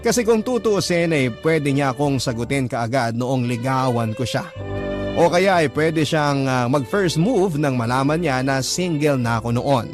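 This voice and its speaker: A man's voice: mid-range voice, lively intonation, measured pace. A man reading a first-person letter aloud in a reflective, heartfelt manner. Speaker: letter narrator. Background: violin music.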